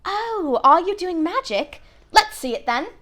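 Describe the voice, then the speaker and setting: A woman's voice: very high-pitched, sing-song, unhurried, fairly bright tone, pitched above the performer's own voice, full character voice. A woman voicing a bossy, know-it-all young girl who chatters eagerly and self-assuredly in a storybook reading.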